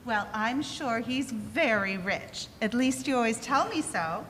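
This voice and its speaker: A woman's voice: a little high, animated, unhurried, a bit bright. A woman playing a spirited, teasing young wife in a 1940s-style radio play, by turns disapproving and playful. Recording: reverberant hall, faint noise.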